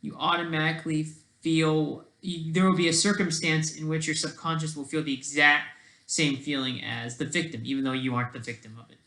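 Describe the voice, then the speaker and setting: A person thinking aloud in a casual, digressive commentary over study notes, halting often and talking in an informal, self-deprecating way. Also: mid-range voice, steady tone, pushed and bright, poor audio. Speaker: solo online commentator.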